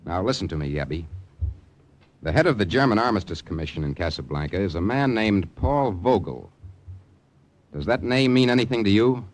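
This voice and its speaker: An actor playing a cool, businesslike American secret agent in an old radio drama, with a clipped, official delivery. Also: male voice, fairly low pitch, animated, normal speed, close to an everyday voice. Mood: businesslike, probing. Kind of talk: 1940s-50s radio drama acting and narration. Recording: vintage radio recording with music behind.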